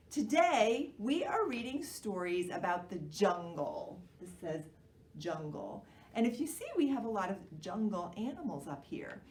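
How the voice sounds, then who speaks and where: An American woman presenting a children's storytime in an animated way, explaining simply and asking young children questions. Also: medium pitch. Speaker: children's library storytime host. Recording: roomy, distant microphone, faint noise.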